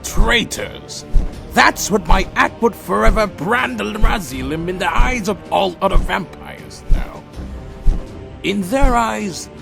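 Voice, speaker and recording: A man playing a brooding, defiant vampire clan leader in a fantasy audio drama, speaking with slow grandeur. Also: high-pitched, heavily acted. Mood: bitter. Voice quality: gravelly. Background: music.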